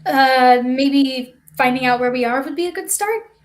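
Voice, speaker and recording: Woman playing a cautious, high-voiced child. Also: moderate pace, lightly acted. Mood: guarded, wry. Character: cautious, quick-witted child stowaway, the level-headed one. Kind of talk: staged reading of a play over video stream.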